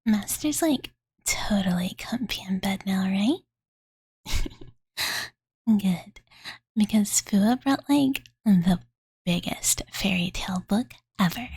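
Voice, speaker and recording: A woman voicing a playful, doting young dragon girl in soft, breathy ASMR whispers, with cutesy, ditzy phrasing, close to the microphone. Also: mid-range voice, animated, slow pace, long pauses, full character voice. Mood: playful, cozy. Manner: ditzy valley-girl filler talk, cutesy third-person self-reference. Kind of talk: whispered ASMR roleplay bedtime storytelling. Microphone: close Blue Yeti condenser mic.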